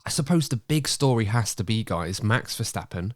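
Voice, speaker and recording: Male podcast host, slightly croaky with a cold, low voice, dry close sound, upbeat and chatty. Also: animated, normal speed, dry recording. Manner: chatty, upbeat, running the show. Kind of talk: casual podcast chat.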